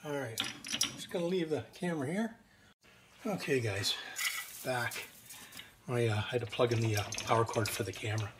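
A man's voice: mid-range voice, moderate pace, pauses often, distant mic. Older man talking over machine noise. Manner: relaxed, talks while working, many "uh" fillers. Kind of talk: casual workshop narration. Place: large home machine shop, indoors. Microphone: fixed camera across the room. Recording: roomy shop echo, off-mic, loud machine noise.

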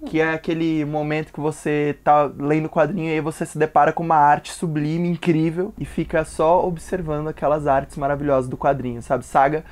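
A man's voice: fairly high pitch, little pitch movement, brisk, few pauses, darkish tone. A young Brazilian man appearing as a guest in a casual comic book review chat, easygoing and jokey, recorded at home on a lapel mic. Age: young adult. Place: home room with bookshelves.

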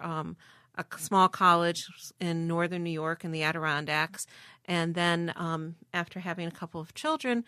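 Bright-voiced woman explaining clearly. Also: lowish voice, dry recording, studio quality. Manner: informative, methodical, with fillers. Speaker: museum director, guest. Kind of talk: explanatory interview talk. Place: submarine galley.

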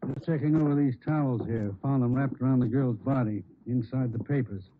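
An actor playing a methodical police lab technician in an old radio drama, talking casually in terse phrases. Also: male voice, medium pitch, fairly quick, close to an everyday voice. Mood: absorbed, casual. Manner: casual, terse, absorbed in his work. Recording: tinny, faintly noisy old radio recording.

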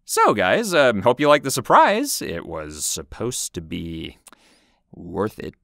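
Animated man with swinging, creaky voice. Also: fairly low pitch, slow, dry recording, studio quality. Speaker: show creator and host. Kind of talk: scripted comic banter.

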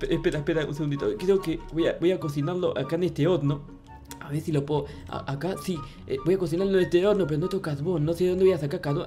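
Man playing a high-pitched, stammering naive little fellow. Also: a little mellow, close to an everyday voice. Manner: stammering, repetitive, pleading. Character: naive, panicky, childlike little fellow, pleading and devoted. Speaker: gameplay roleplay YouTuber. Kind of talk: improvised comic roleplay over video game play. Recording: music behind the voice.

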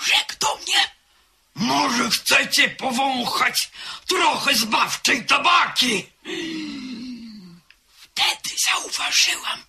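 An actress in a radio drama playing a spooked servant woman with a husky voice and a dramatic delivery. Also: on the high side, sharp tone, full character voice. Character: spooked female servant recounting an eerie apparition.

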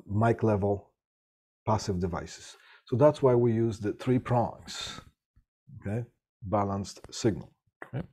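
A middle-aged man with a fairly low, mellow voice and a slightly husky edge. He lectures in a halting, conversational way, restarting phrases and tagging "right?" onto his points. He drifts off the mic now and then. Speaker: instructor. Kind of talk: live online lecture on audio systems.

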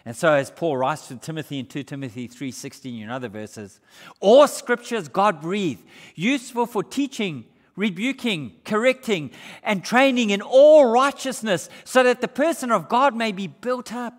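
An older man preaching a sermon in a church, shifting between raised, emphatic passages and hushed, low ones. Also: expressive, normal speed. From Australia. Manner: gestures broadly while preaching. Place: church hall with brick walls. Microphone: far camera. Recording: reverberant church hall.

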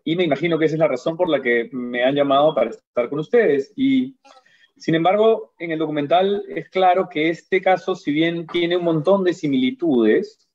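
A man's voice: a little high, moderate pace, a little mellow. Hesitant Peruvian man on a dull call. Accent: Peruvian Spanish. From Peru. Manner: hesitant, many fillers. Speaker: interviewer, psychologist. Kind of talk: casual interview questions. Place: home room, video call.

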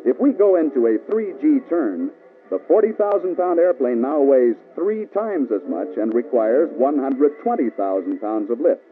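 An American man reading scripted instructional narration for a mid-century military training film, measured, authoritative and precise. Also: mid-range voice, very animated, narrow, tinny audio. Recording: band-limited old film soundtrack with music.

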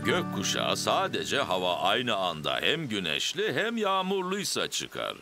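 A man voicing a jolly, excitable father pig in a children's cartoon dub, huffing and exclaiming theatrically. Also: fairly low pitch, measured pace, over music, full character voice. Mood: cheerful.

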